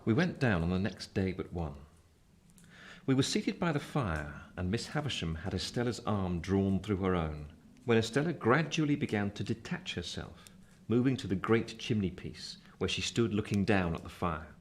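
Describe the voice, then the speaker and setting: Radio drama reflective male narrator: deep voice, measured literary storytelling, formal phrasing. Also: sing-song, a little hiss or hum. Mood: composed recounting. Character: reflective older Pip narrating his life story. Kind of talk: literary first-person narration in radio play.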